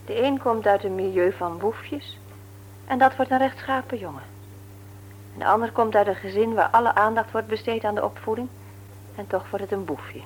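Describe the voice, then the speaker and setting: An actress in a Dutch radio play, playing a brisk, teasing, no-nonsense nurse married to a man she likes to mock. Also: medium pitch, natural voice. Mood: firm, critical. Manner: brisk, mocking, matter-of-fact. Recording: noisy old radio recording with hum.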